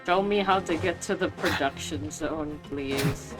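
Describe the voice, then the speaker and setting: A woman's voice: low voice, measured pace, low-quality recording. A woman streaming a game, chatting casually with slangy reactions and reading dialogue aloud. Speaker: gaming streamer. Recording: game music behind the voice.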